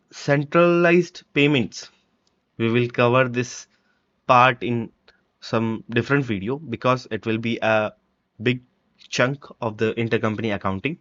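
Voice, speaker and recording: A man presenting a step-by-step software tutorial in halting short phrases, with dull, lo-fi microphone sound. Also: medium pitch, no room sound. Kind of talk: step-by-step screen-recording walkthrough.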